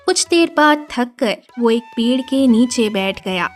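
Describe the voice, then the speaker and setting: High-pitched, slow female storyteller. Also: lively intonation, faint music behind. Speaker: narrator. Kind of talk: scripted children's story narration.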